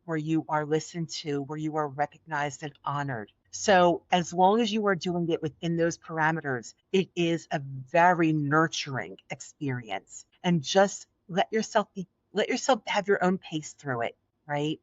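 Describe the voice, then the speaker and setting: A woman's voice: low-pitched, measured pace, no room sound. A middle-aged woman with a Philadelphia accent and a soothing voice, speaking earnestly and encouragingly as a podcast guest. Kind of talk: podcast interview. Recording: dull, dry lo-fi audio.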